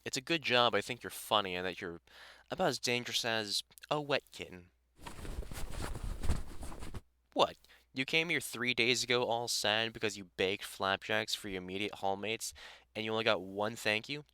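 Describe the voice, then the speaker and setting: A middle-aged British vampire hunter acted by a man speaks in a clear, forward voice, recorded close and dry. He is blunt and sweary, with a teasing fondness, as in an intimate audio roleplay. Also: on the low side, fairly quick, sharp tone, no room sound. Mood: teasing, fond. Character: gruff, kindly middle-aged male vampire hunter and carpenter. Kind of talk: audio roleplay acting, intimate one-sided conversation.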